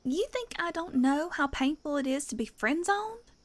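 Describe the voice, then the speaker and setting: A woman performs a small-town teacher in an audio drama. Her voice is notably high for a woman. She comes across as guarded and defensive yet tender, choosing wordy, earnest phrases with some hesitation. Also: animated, normal speed. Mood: defensive, hurt.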